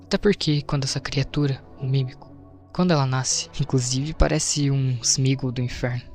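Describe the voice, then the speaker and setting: Brazilian guy doing explainer narration, informal storyteller style, mid pitch, bit of music behind. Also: steady tone, normal speed, darkish tone. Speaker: video narrator. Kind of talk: scripted explainer narration with casual asides. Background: background music.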